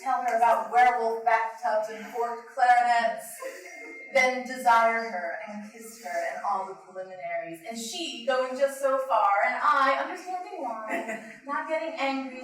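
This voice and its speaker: A woman giving a live, theatrical reading of poetry in an animated way. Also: a little high, normal speed. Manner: animated, theatrical, often breaking into laughter. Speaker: poet reading at an art show opening. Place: indoor cafe at a gallery opening. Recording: echoey room, distant microphone.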